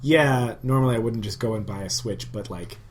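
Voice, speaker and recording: A man's voice: fairly low pitch, fairly quick, slight background noise. A man chatting casually as a podcast co-host, joking and wandering into informal asides. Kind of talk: casual chat.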